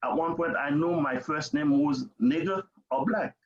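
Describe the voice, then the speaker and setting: A man's voice: fairly high pitch, normal speed. An older man from Haiti with a Haitian accent, speaking emphatically as an interview guest, repeating himself and checking in as he goes. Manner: emphatic, repetitive, checks with "okay?". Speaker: parent advocate, interview guest. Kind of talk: conversational personal testimony. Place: remote webinar call. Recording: dull lo-fi video call audio.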